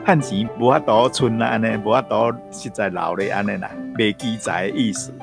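A man's voice: fairly low pitch, fairly even intonation, brisk, gravelly, music in the background. A Taiwanese-accented man, a local culture expert, explaining informally in a folksy, repetitive way. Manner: folksy, repetitive, with frequent particles.

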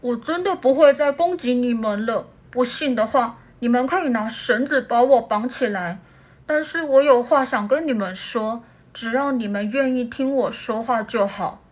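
A woman voicing a big boastful male seal in a storybook, shouting his words loudly. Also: moderate pace, lightly acted. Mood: pleading. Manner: loud, booming exclamations.

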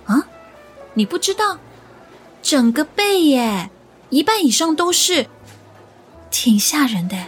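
Curious, earnest young female cafe worker for audio drama. High register, lively intonation. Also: slow pace, long pauses, soft background music, full character voice. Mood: surprised, insistent. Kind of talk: Mandarin audio romance drama acting.

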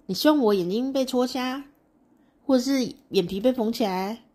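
A woman's voice: on the high side, very animated, measured pace, a little mellow, slightly rough, pitched above the performer's own voice, heavily acted. An earnest, lovestruck young man, as a woman storyteller puts him on, pressing his case with one insistent question after another. The voice stays close to the teller's own, just a little raised. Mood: incredulous.

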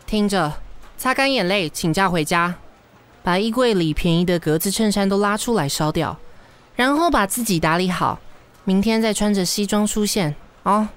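A woman's voice: mid-range voice, animated, normal speed, natural voice. Actress for sardonic, long-suffering woman secretary, radio drama, mid-range voice, dry and commanding delivery. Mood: brisk, matter-of-fact. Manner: dry, bossy, world-weary. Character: brisk, exasperated, sardonic female office secretary.

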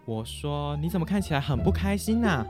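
A woman's voice: low voice, very animated, fairly quick. A woman playing a caring friend who offers advice in a scripted promotional skit, with music behind her. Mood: helpful. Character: caring female friend offering a helpful tip.

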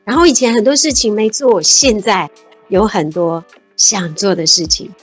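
A cheerful woman in her fifties from Taiwan talks as a guest at a mid pitch, often laughing and speeding up in bursts of enthusiasm. Soft music plays faintly behind her. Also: moderate pace. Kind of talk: casual interview chat.

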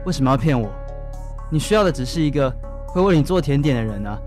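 A man's voice: medium pitch, expressive, fast talker, darkish tone, soft background music, natural voice. A man acting as a resigned, wounded bartender in a modern urban radio drama. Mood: bitter, hurt. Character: resigned, wounded male bartender about to close up. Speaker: actor.

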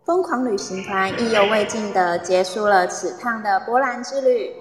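A woman reading scripted narration for a travel radio play in a measured, presentational way. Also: mid-range voice, lively intonation, a crowd in the background, lightly acted. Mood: composed, presentational. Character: composed female narrator of a group-tour radio play. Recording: echoey, long reverb.

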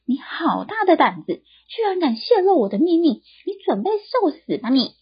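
A woman's voice: fairly high pitch, very animated, moderate pace, breathy edge, muffled recording, full character voice. A woman voicing a proud, vain king in a storybook tale, speaking in a commanding way. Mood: furious.

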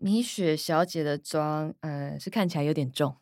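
A woman playing a cynical, calculating young granddaughter in a radio drama, sardonic and expressive, with a Taiwanese accent. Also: low-pitched, normal speed, no room sound, clean studio sound. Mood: coolly calculating. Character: young adult granddaughter, cynical, calculating, sharp-tongued schemer.

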